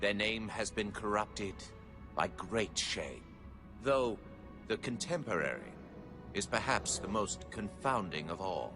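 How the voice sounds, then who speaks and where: A solemn alien chronicler from a video game. His low voice is severe and pious, narrating with ceremonious, foreboding weight. Music is clearly heard underneath. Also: animated. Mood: reverent, grand. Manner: formal, ceremonious, measured narration.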